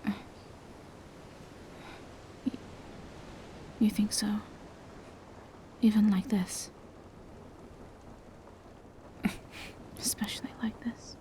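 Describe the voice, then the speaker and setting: A woman voicing a gentle, bashful female vampire in an intimate roleplay audio, speaking in soft, hesitant half-whispers. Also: mid-range voice, steady tone, measured pace, lightly acted. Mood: flustered, shy. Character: gentle, bashful female vampire in monstrous true form, lovestruck.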